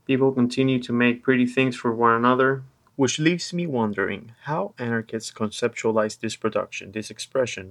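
A man's voice: medium pitch, a bit bright. A man hosting a podcast, speaking as reader and discussion moderator. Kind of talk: scripted reading, then casual discussion.

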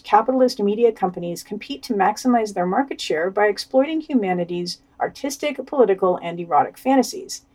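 A woman's voice: mid-range voice, darkish tone. A woman reading written summaries and quotes aloud on a podcast, adding wry commentary. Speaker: podcast news reader.

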